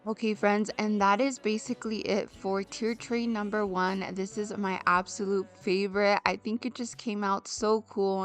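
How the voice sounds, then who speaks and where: A young woman with a hoarse, raspy voice, chatting casually to her viewers in a home decor voice-over. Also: mid-range voice. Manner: chatty, addresses viewers as friends. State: hoarse from illness.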